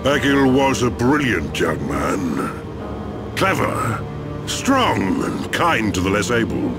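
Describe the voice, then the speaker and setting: A man playing a very old, grave machine-being elder in a game cutscene, with a measured, theatrical delivery. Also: on the low side, wildly swooping pitch, exaggerated voice. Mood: sorrowful, grave. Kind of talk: dramatic video game cutscene acting. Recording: voice under clear background music.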